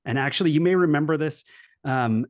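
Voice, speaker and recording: A man's voice: fairly high pitch, fairly quick, no echo, studio quality. A middle-aged man from Los Angeles, a guest on an interview show, telling his life story in an earnest, reflective way with many restarts. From Los Angeles, USA. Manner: earnest, reflective, with frequent restarts and "you know". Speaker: guest, coach and former professor.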